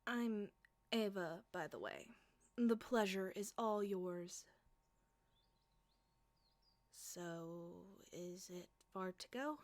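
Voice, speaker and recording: Soft-spoken teasing woman, slow and close. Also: medium pitch, no room sound. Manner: playful, teasing, often whispering close to the mic. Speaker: voice actress performing an audio roleplay. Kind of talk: flirty ASMR roleplay.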